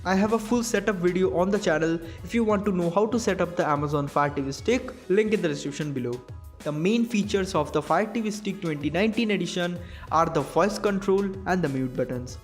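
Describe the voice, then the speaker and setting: A young man with an Indian English accent, reading a scripted tech product review voice-over in a clear, measured, explanatory way. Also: sits high. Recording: faint background music.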